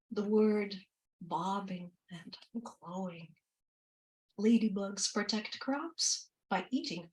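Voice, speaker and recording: A woman from Ukraine reading her poems slowly and deliberately, with quicker, chattier asides between them. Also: mid-range voice, recorded from a distance, poor audio. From Ukraine, former Soviet Union. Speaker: poet and translator. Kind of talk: poetry reading with spoken asides.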